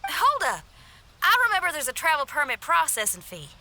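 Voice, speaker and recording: A woman's voice: sits high, huge pitch swings, a little fast, pushed and bright, slight background noise, heavily acted. A woman voicing a breezy, confident young female adventurer in a video game, with a folksy, casual, spirited manner. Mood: friendly, confident.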